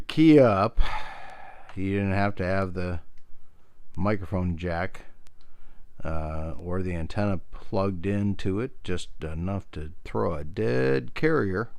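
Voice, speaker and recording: A middle-aged American man with a fairly low voice presents a hobby video. He rambles informally between explanation and anecdote, with a self-deprecating touch. The sound is dry, with very little room to it. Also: clear, resonant tone, no room sound.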